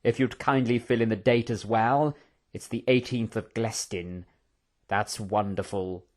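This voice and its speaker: Villain prince for a storybook, read by a man: smug, commanding, politely menacing, measured. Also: fairly low pitch, fairly even intonation, darkish tone. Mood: smug satisfaction.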